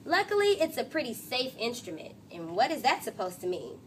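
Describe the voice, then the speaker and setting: A woman's voice: a little high, sing-song, brisk, slight background noise. A woman reading a storybook, voicing a brash, cocky, impatient young schoolgirl with an emphatic, boastful delivery. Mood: scornful.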